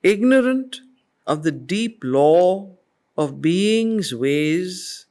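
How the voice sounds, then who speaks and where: Slow, deliberate Indian woman teaching. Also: lowish voice, lively intonation, mellow. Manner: deliberate, emphatic, didactic. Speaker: study circle facilitator and teacher. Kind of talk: poetry reading with spoken explanation. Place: group study session.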